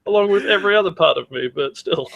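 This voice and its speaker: A man's voice: high voice, expressive, fast, gravelly, fairly bright tone, no room sound. A man co-hosting a comedy podcast, chatting casually in a blunt, crude manner. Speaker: podcast co-host.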